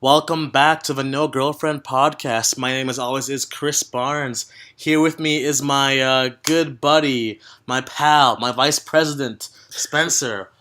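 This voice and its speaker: Young man, podcast host, casual chat, teasing, rambling, mid-pitched voice. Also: fairly even intonation, unhurried, sharp tone.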